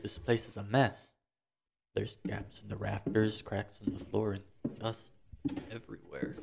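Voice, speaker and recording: A man's voice: lowish voice, vocal fry, background noise. A man playing a young traveler in a fantasy audio roleplay, muttering tentatively to himself. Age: young adult. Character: young male traveler exploring an abandoned tavern, unsure.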